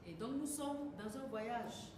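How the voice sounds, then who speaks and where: A woman's voice: on the high side, animated. Woman interpreting a sermon, distant and echoey. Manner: quick, self-correcting, hesitant fillers. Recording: echoey hall from far off, noisy.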